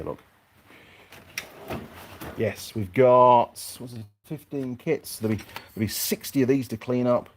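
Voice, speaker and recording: A man's voice: fairly low pitch, a little mellow, slightly rough. A man chatting casually and unscripted to his viewers as a mechanic vlogger, rambling along and thinking aloud in his workshop.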